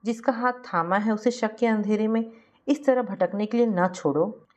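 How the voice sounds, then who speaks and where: A woman's voice: medium pitch, normal speed, close to an everyday voice. A woman voicing a kind, principled man who talks in an earnest, moralizing way in a family story. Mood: earnest urging. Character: kind, principled man advising his friend.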